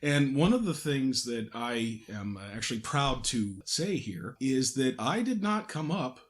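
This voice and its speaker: A man's voice: mid-range voice, very animated, a little slow. A man hosting a casual behind-the-scenes podcast, joking and self-deprecating, with hesitant false starts. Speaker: podcast host and audio drama creator.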